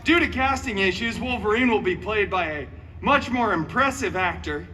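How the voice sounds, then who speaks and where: High-pitched, theatrical, sarcastic male storyteller. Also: animated, normal speed, a little hiss or hum. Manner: sarcastic, theatrical, with wry asides. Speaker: costumed theme park performer reading a story. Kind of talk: comic live storytelling for a crowd. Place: outdoor theme park show. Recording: distant live amplified sound over loud music. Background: music.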